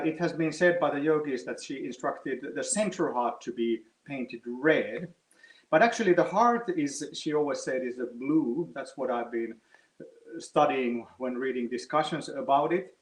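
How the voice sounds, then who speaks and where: A man's voice: fairly high pitch, reverberant, poor audio. A man hosting a live online quiz, chatting to the players and explaining the answers at length between questions. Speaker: quiz host.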